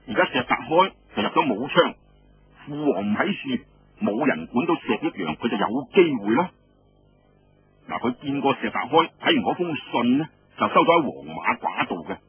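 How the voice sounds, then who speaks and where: Expressive Cantonese storyteller, man, animated pitch. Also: slightly rough. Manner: expressive, conversational asides. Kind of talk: dramatic colloquial oral storytelling narration. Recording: dull lo-fi radio-style audio, faint noise.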